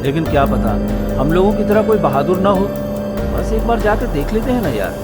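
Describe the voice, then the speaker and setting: A man voicing an eager, easily frightened young village man who talks in a pleading, excitable way, with background music. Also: sits high, brisk.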